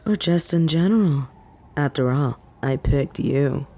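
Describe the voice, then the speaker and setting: A woman playing a cocky, smitten female werewolf in an audio roleplay, teasing and flirting in a deadpan way. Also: low-pitched, measured pace. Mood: smitten, sly. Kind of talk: scripted audio roleplay acting.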